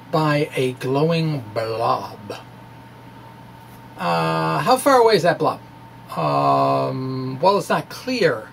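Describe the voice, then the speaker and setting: Male science blogger, reading his essay aloud with hesitant, thoughtful asides and lively intonation, slight noise behind. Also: a little high, vocal fry.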